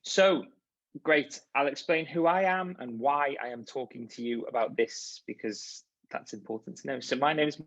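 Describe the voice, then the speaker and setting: A man's voice: mid-range voice, moderate pace, gravelly, fairly bright tone. A man, a university chaplain giving a friendly, chatty, encouraging welcome talk over a video call. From Liverpool, England. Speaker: university chaplain and events coordinator. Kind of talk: informal online welcome talk. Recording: dull lo-fi video call audio.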